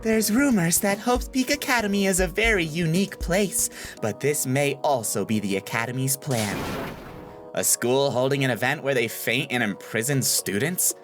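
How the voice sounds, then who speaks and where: A man voicing a calm, skeptical young student in a video game, measured and reasoning. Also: mid-range voice, over music, lightly acted.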